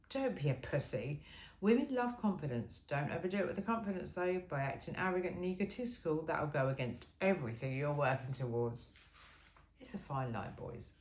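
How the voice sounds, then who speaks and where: A woman's voice: lowish voice, darkish tone. A woman reading an informal self-help book aloud in an emphatic, cheeky and frank way. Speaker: amateur reader of a book aloud.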